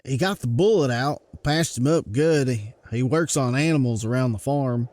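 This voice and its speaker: Male actor as country farmhand, Southern US accent, folksy, halting, eager to help, medium pitch, motion comic drama. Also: darkish tone, natural voice. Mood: nervous, helpful.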